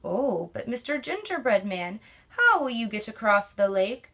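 A crafty storybook fox, played by a woman, with a falsely sweet, coaxing tone. The voice sits high and bright, lilting as it lures its prey closer. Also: very animated, measured pace, full character voice. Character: sly, crafty fox, smooth-talking.

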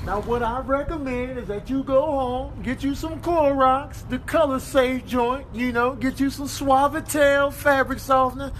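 A man's voice: extremely high pitch, steady tone, unhurried, hardly any pauses, a bit bright, a little hiss or hum. A man voicing a brash, indignant dog in a comedic animal voiceover, ranting in a streetwise manner. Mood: cocky taunting. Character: brash, confrontational male dog, indignant and cocky.

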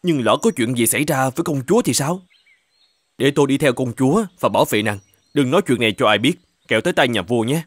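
A man's voice: mid-range voice, expressive, fast, slightly rough, no room sound. A man playing a devoted, dutiful young knight, speaking earnestly and respectfully in a children's cartoon. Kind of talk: dubbed children's cartoon acting.

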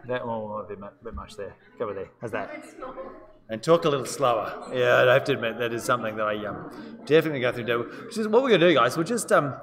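A man with a New Zealand accent leads a law seminar in an easygoing, conversational way, often turning questions back to the room. His voice sits at an ordinary middle pitch. It is caught by a distant microphone and carries the long reverb of a lecture hall. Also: fast, a bit bright. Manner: casual, chatty, asks students questions. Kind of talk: live-streamed seminar teaching.

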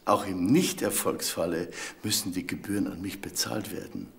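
An actor playing a mercenary broker of noble titles in a German crime radio drama, formal and insinuating. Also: male voice, deep voice, steady tone, normal speed, creaky. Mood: smooth, pressing.

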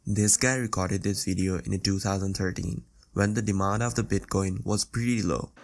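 A man's voice: low voice, steady tone, moderate pace, gravelly. A man reading scripted narration as the presenter of an online video compilation. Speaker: narrator of a viral clip compilation.

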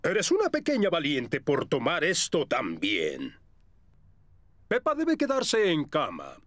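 A man voicing a kindly, reassuring bear doctor in a children's cartoon, very expressive. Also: on the high side, slow pace, dry recording, heavily acted. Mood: kindly, upbeat.

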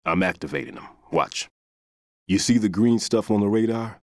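An actor playing a firm special-operations soldier giving mission instructions in a video game. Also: male voice, fairly low pitch, normal speed, darkish tone, no room sound, clean studio sound, lightly acted. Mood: firm, instructive.

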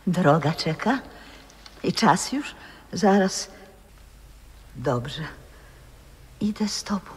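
An actress playing a frail old former opera singer, adoring and wistful, in poetic 1960s radio drama style. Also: female voice, on the low side, animated, extremely slow, long pauses, heavily acted. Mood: anxious, devoted. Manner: poetic, theatrical, hushed and breathy. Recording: old radio recording with long reverb.

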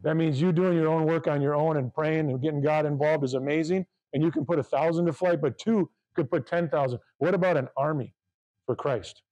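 A man delivers a sermon with a loud voice and fervent conviction. His tone is warm and mellow, and he reads scripture aloud to his congregation. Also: a little high, fairly quick, lo-fi sound. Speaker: pastor.

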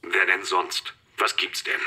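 An actor playing a detective in an audio drama, heard through a phone or radio filter. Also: male voice, deep voice, slow pace, pushed and bright, slightly rough, heavily acted. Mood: matter-of-fact. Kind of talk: German audio drama acting.

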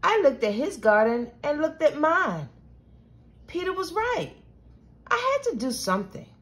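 A woman reading a children's storybook aloud with expressive, deliberate delivery. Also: high-pitched. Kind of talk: scripted children's storybook narration.

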